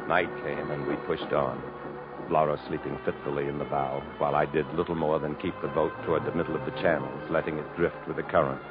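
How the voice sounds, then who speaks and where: An actor playing a resolute, commanding adventurer hero in a 1950s radio drama, speaking earnestly and in a clipped way. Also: male voice, rumbling low, level tone, brisk. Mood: brooding narration. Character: resolute adventurer hero fleeing through jungle, also narrating. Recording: muffled old radio broadcast with music.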